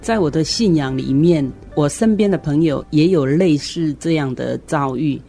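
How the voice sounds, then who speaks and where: A middle-aged woman with a Taiwanese Mandarin accent, explaining reflectively in short, deliberate phrases as a guest on a radio discussion. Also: deep voice, warm tone. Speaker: guest commentator, educator.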